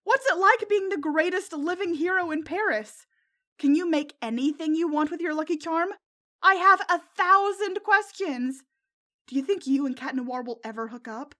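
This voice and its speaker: Lady doing high voiced teen girl bestie, excitable fangirl type, gushy, story narration. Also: normal speed. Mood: squealing excitement. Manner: gushing, squealing when excited. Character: excitable, loyal teenage girl, gushing superhero fangirl.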